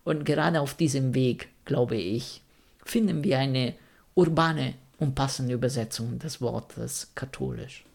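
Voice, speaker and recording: A man's voice: medium pitch, measured pace, vocal fry. A man from Rome speaking German with an Italian accent, answering interview questions thoughtfully and searching for words. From Italy (Rome). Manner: thoughtful, searching for words, non-native grammar.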